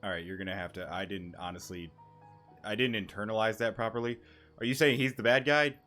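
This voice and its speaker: A male gaming streamer with a low voice reacts casually as he plays. He is informal and half-joking, sweary, with game music softly behind him. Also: steady tone, a little fast. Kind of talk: casual live reaction commentary over gameplay.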